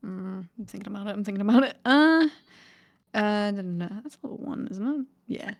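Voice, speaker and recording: Female tabletop player, creaky mid-range voice, casual and hesitant, reasoning out loud. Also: mid-range voice, lively intonation, fairly quick. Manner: hesitant, thinking aloud. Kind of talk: casual game chat.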